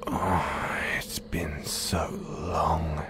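A man voicing a predatory, possessive werewolf in an intimate audio roleplay, in a breathy, seductive, menacing whisper. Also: rumbling low, flat delivery, drawn-out words, noisy background, full character voice. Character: predatory, possessive male werewolf stalking his chosen mate.

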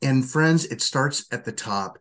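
A man's voice: medium pitch, expressive, a little slow, fairly bright tone. An older man, a school board member, giving an earnest, upbeat prepared address from a home office over a webcam.

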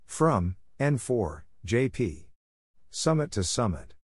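A man giving a scripted instructional readout of radio exchanges in deliberate, clipped phrases, spelling out call signs. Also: medium pitch, sing-song, breathy edge, dry recording, studio quality. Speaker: Morse code practice narrator.

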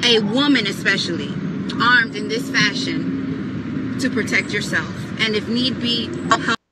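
A woman in her thirties talks to her handheld camera, slow and deliberate, as if walking viewers through each step. Her voice sits at an ordinary middle pitch. Loud noise runs under everything, from a car and then a big mall. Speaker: vlogger. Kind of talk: vlog walkthrough. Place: car, then large indoor shopping mall. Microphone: handheld camera at arm's length.

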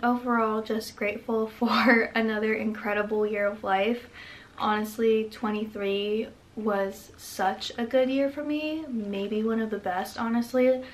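Chatty woman in her twenties, rambling. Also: on the high side. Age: 20s. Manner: rambling, many "like" fillers, frequent laughs. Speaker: vlogger. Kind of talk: casual reflective talk to camera. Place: bedroom, indoors.

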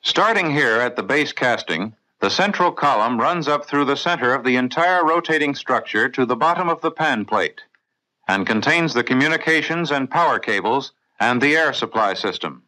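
A man with an American accent reading scripted mid-century instructional film narration for the navy in a clear, methodical, authoritative way. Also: medium pitch, lively intonation, moderate pace, bright, forceful tone, rough recording. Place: voice-over recorded in a studio.

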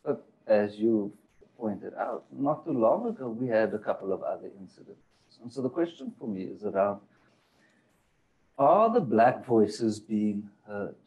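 A man's voice: lowish voice, sing-song, a little slow, darkish tone, dull audio. A man moderating a panel discussion, asking long questions with searching, hesitant phrasing and frequent fillers.

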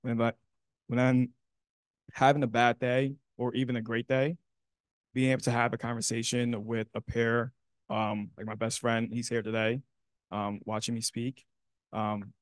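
A man's voice: medium pitch, no echo. Earnest young man on a panel. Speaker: panelist, alumnus. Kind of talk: panel discussion. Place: university classroom, indoors.

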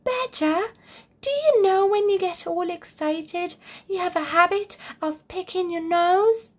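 A woman's voice: very high-pitched, a little slow, pitched above the performer's own voice. A woman voicing a concerned, bossy young male bear in a children's storybook, exclaiming earnestly.

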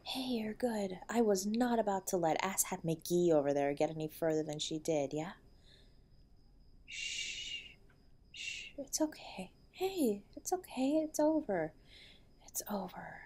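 A woman playing a protective, loving wife in an intimate audio roleplay, with a breathy voice. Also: medium pitch, very animated, a little slow, warm tone. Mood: soothing. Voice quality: breathy, often dropping to a whisper. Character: protective loving wife comforting and defending her husband.

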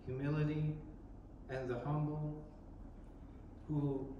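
Priest guy giving a sermon, slow and thoughtful, sounds far off in a big echoey church. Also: on the high side, flat delivery, muffled recording, a little hiss or hum. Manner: slow, reflective, with pauses and restarts. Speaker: Orthodox priest. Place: large church, indoors. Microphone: distant camera in the congregation.

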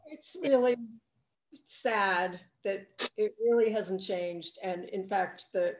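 An older woman, a film director, recalling the making of her film in a relaxed Q&A over a video call from her living room, acting out what people said. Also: medium pitch, takes it slowly. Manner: chatty, acts out quoted lines. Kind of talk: casual Q&A storytelling. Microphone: laptop webcam on a video call. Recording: roomy video call audio.

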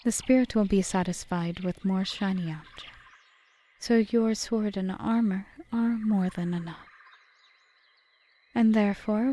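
A woman playing a cunning, possessive, seductive witch in an audio roleplay, speaking in a formal, measured, softly whispery way. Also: mid-range voice, lightly acted. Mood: persuasive. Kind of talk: ASMR audio roleplay, scripted monologue.

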